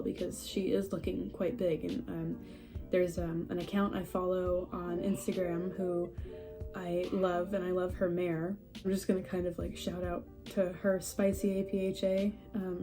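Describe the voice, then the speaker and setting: Stuffy-voiced young woman, mellow tone. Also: fairly low pitch. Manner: rambling, chatty, self-deprecating, laughs often. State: stuffy and a little scratchy from a cold. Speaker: horse breeder vlogger. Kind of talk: casual update vlog. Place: at home among houseplants.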